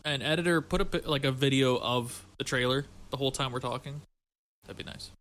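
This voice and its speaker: A woman's voice: extremely low pitch, fairly quick, fairly bright tone. A woman co-hosting a podcast, chatting casually with some swearing. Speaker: podcast co-host. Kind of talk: casual chat.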